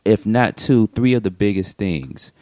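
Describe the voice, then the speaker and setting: A man's voice: lowish voice, expressive, unhurried, dark tone, no room sound, clean studio sound. A man hosting a morning radio show, asking long, rambling questions full of restarts.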